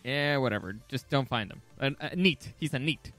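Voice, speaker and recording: A man hosting a podcast in a casual, comedic style, excitable, jokey and free with swearing. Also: mid-range voice, normal speed, soft background music. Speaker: podcast host.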